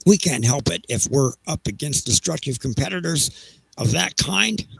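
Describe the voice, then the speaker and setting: Man acting a gravelly, peevish railroad executive. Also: mid-range voice, animated, moderate pace, fairly bright tone, no echo, heavily acted. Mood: indignant. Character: peevish, defensive railroad executive making excuses.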